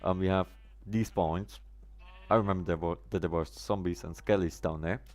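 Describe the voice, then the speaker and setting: A man's voice: low voice, steady tone, moderate pace, a little mellow. A man whose first language is German, live-streaming a game with casual commentary and chatting to viewers in wordy, oddly formal phrases.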